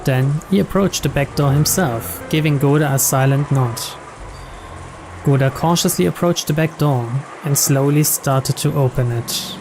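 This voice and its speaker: A man's voice: fairly high pitch, measured pace, poor audio. A man reading the text of a visual novel aloud as scripted narration. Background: game music.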